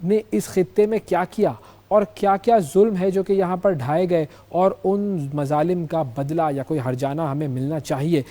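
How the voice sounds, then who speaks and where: A man with a Pakistani accent presenting and interviewing as a current affairs host, in a measured, emphatic, formal manner. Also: fairly high pitch, fairly even intonation, dark tone. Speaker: news programme host.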